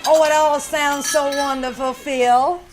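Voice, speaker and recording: A woman playing a sassy, sharp-tongued waitress in a radio drama, brassy and slangy, quick with comebacks. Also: sits high, fairly even intonation, over loud music. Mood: flirtatious. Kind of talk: radio crime drama acting.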